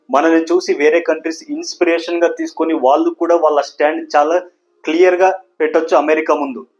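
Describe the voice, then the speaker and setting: Higher-pitched man explaining news. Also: highs cut off. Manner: explanatory, mixes English words into speech. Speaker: host of a news explainer channel. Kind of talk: news commentary explainer to camera.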